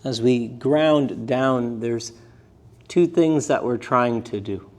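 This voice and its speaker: A man teaching a live yoga and meditation class, speaking gently in short, unhurried phrases with pauses between them. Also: mid-range voice. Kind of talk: guided meditation class instruction.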